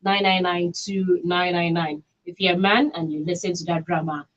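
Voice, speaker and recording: A woman's voice: lowish voice, fairly even intonation, moderate pace, dull audio. A Nigerian woman hosting a live radio call-in show from a studio.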